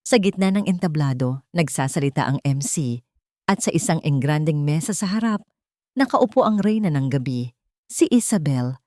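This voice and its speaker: Low-voiced Filipino woman narrating drama. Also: expressive, mellow, no room sound, studio quality. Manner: measured, suspenseful storytelling. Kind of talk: dramatic scripted story narration.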